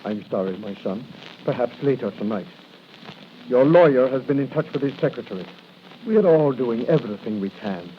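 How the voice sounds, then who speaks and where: An actor playing a gentle, solemn prison priest with a measured manner, in 1940s radio drama on a hissy recording. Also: male voice, medium pitch, lively intonation, lo-fi sound, lightly acted. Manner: soothing, measured.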